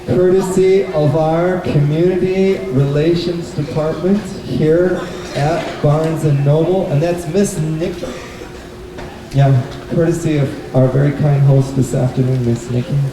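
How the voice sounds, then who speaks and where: Very echoey, slow-paced male storyteller. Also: a little high, steady tone. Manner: simple, deliberate phrasing. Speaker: puppet show storyteller and presenter. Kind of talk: live children's storytelling to an audience. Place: indoor bookstore event. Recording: very echoey, noisy hall, distant microphone.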